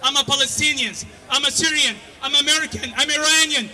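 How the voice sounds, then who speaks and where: Male rally speaker, high, bright, shouted, chant-leading, outdoor protest. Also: fairly even intonation, brisk, some chatter behind. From Egypt. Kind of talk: fiery rally speech.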